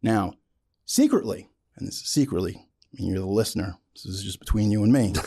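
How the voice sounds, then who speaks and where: A man's voice: medium pitch, mellow. A man hosting an interview show, effusive and reverent, speaking with dramatic emphasis and enthusiasm. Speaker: podcast host. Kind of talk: enthusiastic interview with a prepared introduction.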